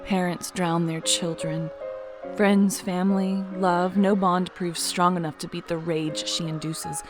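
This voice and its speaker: A woman narrating an audio drama in a measured, dramatic storytelling manner. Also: lowish voice, level tone, music in the background.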